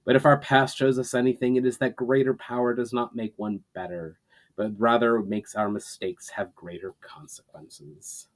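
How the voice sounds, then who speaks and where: A male reader takes on a solemn, earnest leader addressing his armies. He speaks in measured, declamatory phrases at an average male pitch, as in a dramatic storybook reading. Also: steady tone, natural voice. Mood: solemn resolve.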